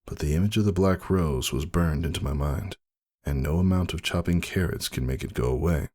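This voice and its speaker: A man giving a dramatic first-person story reading as narrator, husky and brooding in manner. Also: rumbling low, brisk, mellow. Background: faint music.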